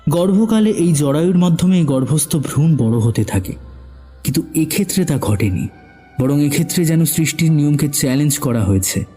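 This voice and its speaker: A man's voice: a little high, lively intonation, normal speed, mellow, slightly rough, faint music behind. A man narrating a scripted suspense story as the narrator of an audio drama.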